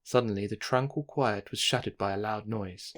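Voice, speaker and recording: A man narrating a children's bedtime story in an expressive, measured read-aloud style. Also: fairly low pitch, heavy background noise.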